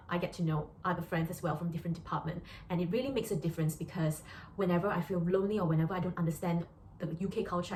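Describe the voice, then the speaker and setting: A woman's voice: lowish voice, a little mellow. Chatty Malaysian woman in an echoey room. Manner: earnest, chatty, many fillers and restarts. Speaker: doctor. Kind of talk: casual vlog monologue. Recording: echoey room with faint noise.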